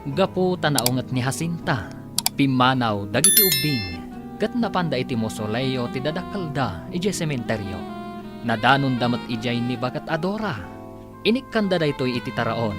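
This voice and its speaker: A man narrating and announcing a scripted radio drama. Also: medium pitch. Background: guitar and instrumental music.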